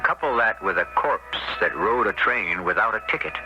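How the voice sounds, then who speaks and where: Mystery anthology host: man, deep-ish, rhetorical and measured, clear music behind. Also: expressive. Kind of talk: scripted dramatic radio narration. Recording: dull old radio broadcast with music.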